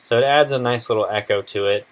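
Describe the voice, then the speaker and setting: A man in his twenties presenting a casual software tutorial in an informal, halting, unscripted way. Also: medium pitch. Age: 20s.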